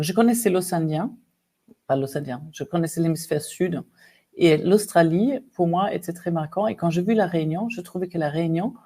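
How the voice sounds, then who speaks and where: German-accented woman, mellow storyteller. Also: low voice. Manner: lively, animated, many fillers and restarts. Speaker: guest, executive coach. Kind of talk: casual storytelling interview.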